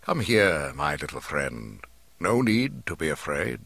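A man voicing a kindly, wise old hermit in an audiobook, speaking gently, slowly and deliberately. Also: low voice, sing-song, husky, no room sound, full character voice. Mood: cheerful, reassuring.

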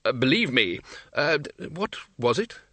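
Man playing a forceful, blustering senior wizard. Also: mid-range voice, big pitch swings, measured pace, no echo, exaggerated voice. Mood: irritated, blustering. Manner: snappish, forceful. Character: bossy, blustering senior male wizard, head of a university.

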